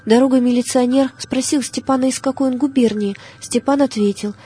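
A woman reading a scripted biographical audiobook in a measured, solemn manner. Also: high-pitched, steady tone, mellow, husky. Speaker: narrator.

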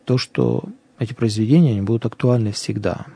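A man's voice: lowish voice, fairly quick, gravelly, mellow, slightly rough, no echo. A man who is a priest, talking earnestly and thinking aloud in a conversational religious program.

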